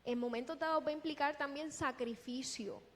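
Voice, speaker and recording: A woman preaching a sermon in a deliberate, emphatic way, posing rhetorical questions. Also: high voice, fairly bright tone. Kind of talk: sermon with scripture exposition. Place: large echoing church hall. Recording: long reverberant hall sound.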